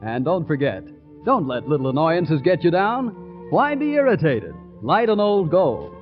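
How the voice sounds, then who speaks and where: Over faint music on a muffled vintage recording, a male announcer reads in 1940s radio ad style. His voice is high and swings with lively emphasis. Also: a little slow. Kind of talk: 1940s scripted radio ad read.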